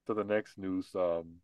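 A man's voice: low voice, a little hiss or hum. A man co-hosting a podcast, talking casually and opinionatedly about politics and mocking the people he discusses. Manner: opinionated, mocking, acts out quotes. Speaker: podcast co-host.

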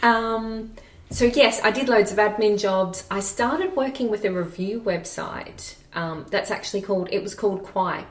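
A woman's voice: medium pitch, moderate pace, hardly any pauses. A woman, a podcast guest chatting casually and self-deprecatingly about her work, laughing easily. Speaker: podcast guest, social media marketer. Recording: roomy sound, distant from the mic.

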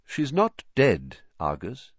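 A man voicing a calm, authoritative old wizard headmaster, grave, soft-spoken and firm. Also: mid-range voice, slow, dry recording, highs cut off, pitched above the performer's own voice, full character voice. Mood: gentle, grave.

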